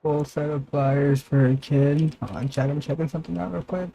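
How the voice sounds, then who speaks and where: A man's voice: on the high side, fairly even intonation, normal speed, creaky, dark tone, a little hiss or hum, low-quality recording. A man chatting casually to viewers as he streams a video game. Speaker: live streamer. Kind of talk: casual gaming livestream chat.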